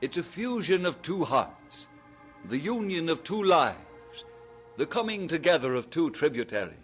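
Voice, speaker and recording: An actor with a Scottish accent playing an earnest young preacher in a 1940s radio drama. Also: male voice, fairly high pitch, big pitch swings, unhurried. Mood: preaching. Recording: vintage radio recording with music.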